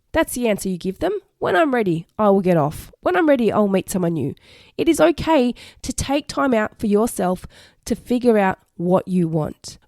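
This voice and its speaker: A woman talks through relationship advice on her podcast, a bit croaky and hoarse after being sick. Her tone is warm and mellow, close to the mic in a dry room. She is earnest and reassuring, repeating her main points. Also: medium pitch, dry recording. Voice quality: slightly hoarse. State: croaky from recent illness. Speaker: podcast host. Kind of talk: solo advice podcast talk.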